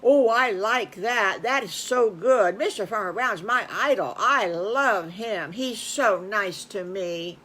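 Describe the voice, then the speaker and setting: Woman voicing a slow-talking, trusting little wolf. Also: mid-range voice, vocal fry, a little hiss or hum. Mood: eager, adoring. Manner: simple childlike phrasing, drawn out. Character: young wolf, artless, trusting, adoring.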